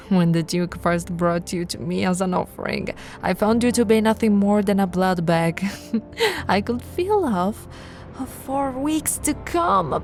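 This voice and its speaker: Woman playing a slow, creaky, seductive vampire queen. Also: mid-range voice, a little mellow. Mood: haughty, amused. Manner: slow, breathy, drifting into whispers, regal and doting. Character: possessive, seductive ancient vampire royal, female. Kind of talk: ASMR roleplay, intimate monologue to the listener.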